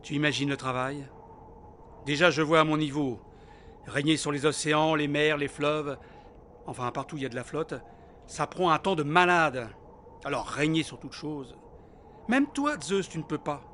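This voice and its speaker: An actor playing a grumbling, vengeful sea god who talks in casual slang, in a comic audio drama. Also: male voice, fairly high pitch, normal speed, long pauses, fairly bright tone, a little hiss or hum, lightly acted. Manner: slangy, petulant.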